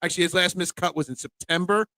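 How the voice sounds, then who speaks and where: A man's voice: sits high, steady tone, quick pace, bright, forceful tone, dry recording. A man hosting a casual sports betting preview show, chatting with his co-hosts.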